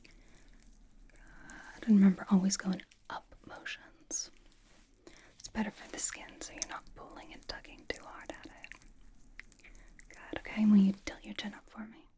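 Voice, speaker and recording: A woman whispering as a blunt but doting young female pop idol in a gentle, casual ASMR skincare roleplay. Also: mid-range voice, level tone, natural voice. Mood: soothing, gentle. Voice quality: whispered, breathy. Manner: slow, gentle, casual, reassuring. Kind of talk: ASMR personal attention roleplay.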